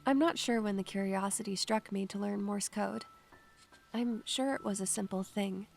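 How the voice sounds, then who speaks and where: Actress as melancholy student girl, heavy vocal fry, mid pitch, introspective, measured audio drama delivery. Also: darkish tone, faint music behind, close to an everyday voice. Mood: wistful, brooding. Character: melancholy, introspective young female student who hears spirits. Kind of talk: audio drama narration and acting.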